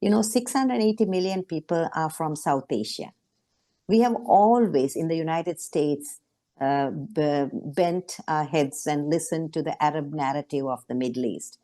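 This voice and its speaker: A woman's voice: sits low, a little slow, mellow. A woman from Sri Lanka speaking as a panel guest in an online discussion, earnest and emphatic, with frequent fillers.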